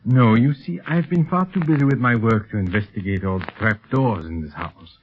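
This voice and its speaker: An actor playing a suave, secretly criminal scientist with a formal, condescending manner in a 1930s radio serial. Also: male voice, on the low side, normal speed, lightly acted. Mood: evasive, firm. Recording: crackly, narrow-band 1930s radio recording.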